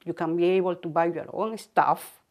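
An older woman from South America talks in a lowish voice. She lays down advice firmly and directly, with a plain, steady delivery. It is a documentary interview recorded indoors. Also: moderate pace, highs cut off. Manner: firm, advice-giving.

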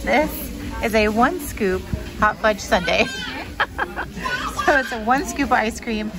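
A woman's voice: fairly high pitch, animated, unhurried, rough recording. A woman in her thirties chatting enthusiastically about food while filming her family at a busy diner. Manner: upbeat, enthusiastic, laughs often. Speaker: family vlogger. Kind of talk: casual food review chat. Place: busy 1950s-style diner, indoors. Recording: loud diner music and crowd noise. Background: loud music and crowd chatter.

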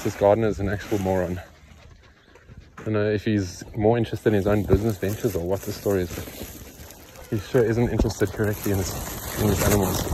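A man's voice: lowish voice, noisy background. Blunt man vlogging outdoors on a phone. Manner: blunt, opinionated, matter-of-fact. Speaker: farmer vlogger. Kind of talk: casual vlog narration while working. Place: outdoors in a yard by a pond. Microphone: phone camera held in hand, point of view.

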